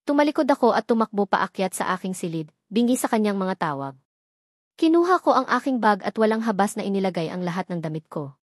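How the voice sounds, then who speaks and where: A woman's voice: mid-range voice, lively intonation, fast, dry recording, clean studio sound. A woman reading a dramatic first-person story as a scripted narration.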